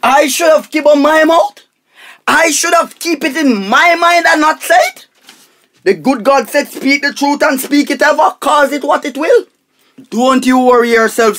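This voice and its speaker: A woman's voice: high-pitched, fairly bright tone. A woman playing a flustered, self-important money minister in a radio comedy, with a Jamaican accent and emphatic repetition. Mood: confident. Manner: repeats phrases emphatically, halting when agitated. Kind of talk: radio comedy drama acting.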